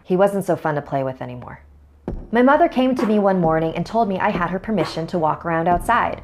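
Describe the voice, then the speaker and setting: A woman's voice: on the low side, animated, quick pace, music in the background. A woman narrator reading a scripted horror story in the first person.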